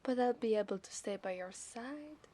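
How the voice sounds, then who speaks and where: A woman's voice: fairly high pitch, animated, dark tone, a little hiss or hum. A woman with an Italian accent playing an obsessive, seductive mermaid in a teasing ASMR roleplay, sometimes slipping into whispers. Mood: wistful. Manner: slow, teasing, lapsing into whispers.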